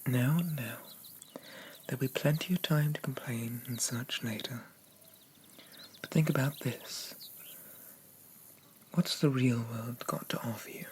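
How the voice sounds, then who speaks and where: Man acting a slow, soft-spoken possessive demon prince. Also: mid-range voice, close to an everyday voice. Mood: coaxing, seductive. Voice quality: soft, breathy, often whispered. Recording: noticeable rain-like background noise.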